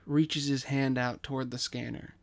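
Male narrator for scripted audio fiction: medium register, gravelly edge, reading stage directions. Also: medium pitch, level tone, moderate pace.

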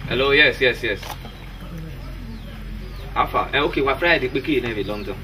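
A boastful young man , played by an actor, brags on the phone in a rural village film. His voice is mid-pitched and blustering. Roosters and yard noise sit clearly behind him. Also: normal speed, pushed and bright, lightly acted, rough recording. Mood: bragging. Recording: outdoor recording with noticeable background noise.

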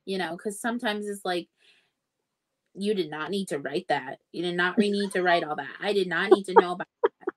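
A woman's voice: lowish voice, very animated, a little fast, a crowd in the background, rough recording. A young woman co-hosting a casual book chat on a video call, speaking informally and restating her phrases. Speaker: book club livestream co-host. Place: indoor room at home, on a video call.

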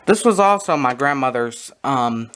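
A person giving a casual, halting, matter-of-fact show-and-tell narration, recorded on a handheld camera in a room at home. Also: medium pitch, animated, fairly bright tone, slight background noise. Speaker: video creator showing a radio collection. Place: small room at home, indoors. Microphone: handheld camera close by.

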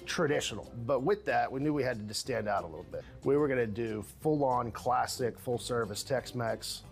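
A man's voice: medium pitch, brisk, gravelly. A man from Texas, around forty, talking casually in an interview as a restaurateur. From Houston, Texas. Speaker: Tex-Mex restaurateur. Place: restaurant dining room. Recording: music behind the voice. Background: music.

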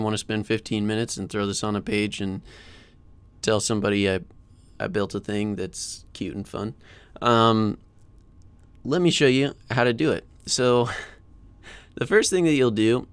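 An American man in his thirties hosting a casual software screencast tutorial in an informal, chatty way, close to a desk mic. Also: on the low side, clean tone, no echo. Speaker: tutorial host. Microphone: close desk microphone.

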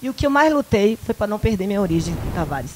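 A woman in her fifties from the Bahia countryside with a Bahian Brazilian accent, a guest in a small local studio interview, telling lively personal stories into a handheld mic and acting out the dialogue. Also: mid-range voice, fast, slight background noise, low-quality recording. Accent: Bahian Brazilian Portuguese. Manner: animated, acts out quoted dialogue, frequent "né?". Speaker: guest, event producer. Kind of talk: casual interview storytelling.

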